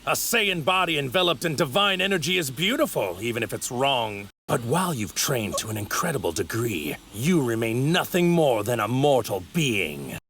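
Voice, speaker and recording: A godlike anime villain, arrogant and grandly theatrical. His forceful voice has a gravelly grain and swings widely in pitch. The diction is formal and pompous, as in a dubbed cartoon. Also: big pitch swings, normal speed, hardly any pauses, slight background noise. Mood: gloating. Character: arrogant godlike male villain in a stolen warrior body. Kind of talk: English anime dub acting.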